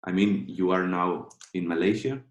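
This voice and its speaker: Man, Colombian Spanish accent, halting casual English, echoey kitchen, video call. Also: sits low, steady tone. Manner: halting, many filler "like"s and restarts. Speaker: guest speaker. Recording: echoey room.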